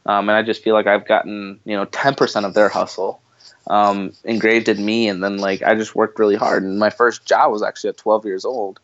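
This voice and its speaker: A man's voice: fairly low pitch, flat delivery, brisk, dry recording. A man from Bosnia who moved to the United States, chatting informally as a podcast guest and repeating phrases for emphasis, on slightly lo-fi audio. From Bosnia, later the United States. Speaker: podcast guest, marketing entrepreneur. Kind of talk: casual conversational interview.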